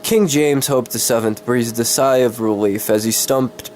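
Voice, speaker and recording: A man with a cold doing a dramatic story reading, halting and easily distracted, with casual swearing. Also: medium pitch, a little mellow, soft background music. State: sick with a cold.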